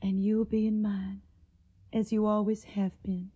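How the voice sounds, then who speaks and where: A woman's voice: medium pitch, level tone, a little slow, mellow, breathy edge, muffled recording. An actress playing a tender, troubled and stubborn young Tennessee woman in a Civil War radio drama, speaking earnest, wistful, folksy lines with a Southern accent. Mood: upset, tender.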